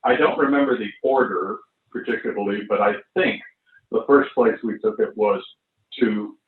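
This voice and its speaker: A man's voice: on the low side, normal speed. Roomy, distant older man, tinny sound. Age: 70s. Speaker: actor recalling a stage show. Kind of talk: casual reminiscing. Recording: roomy, distant, tinny phone-like call audio.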